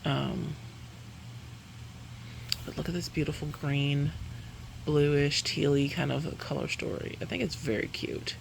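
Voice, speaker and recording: A woman's voice: low-pitched, steady tone, measured pace, creaky, pauses often, slight background noise, low-quality recording. A woman chatting casually through a makeup review, rambling and correcting herself, laughing between sentences. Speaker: beauty vlogger.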